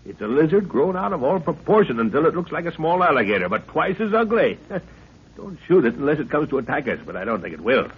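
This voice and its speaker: A man's voice: on the high side, very animated, a little fast, husky, heavily acted. An actor playing a middle-aged explorer father, curious, authoritative and given to explaining, in a 1930s radio serial. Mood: excited caution. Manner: explanatory, exclaiming, commanding. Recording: dull 1930s radio recording.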